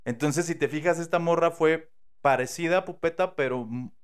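A man with a Mexican accent, casually telling a true crime story on a podcast, slangy and animated, acting out the quotes. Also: on the high side, moderate pace, smooth. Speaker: podcast host.

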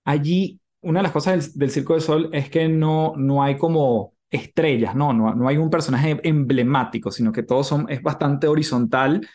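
A man with a somewhat high-pitched voice hosts a podcast interview. He talks conversationally, thinking aloud as he builds up his questions. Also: moderate pace, few pauses, darkish tone.

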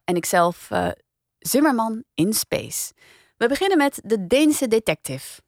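A woman's voice: a little high, sing-song, unhurried, noisy background. A woman hosting a podcast in a casual, conversational studio style. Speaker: podcast review host.

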